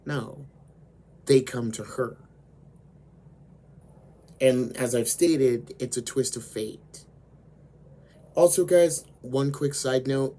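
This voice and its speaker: A man with a hoarse voice, slowly retelling an anime story as host of his own channel. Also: medium pitch, dark tone. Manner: slow, deliberate storytelling with frequent fillers.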